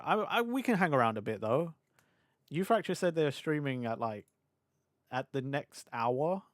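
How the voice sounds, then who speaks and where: A young British man streaming live, rambling and laughing as he chats with his audience, his voice a little hoarse from hours of talking. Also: medium pitch, no room sound, studio quality. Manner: chatty, scattered, quick to laugh. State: slightly hoarse. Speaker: VTuber streamer. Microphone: desk mic on a boom arm.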